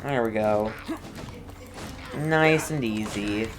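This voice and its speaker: A woman's voice: extremely low pitch, slow pace, music in the background. A woman game streamer giving casual, rambling commentary as she talks herself through play.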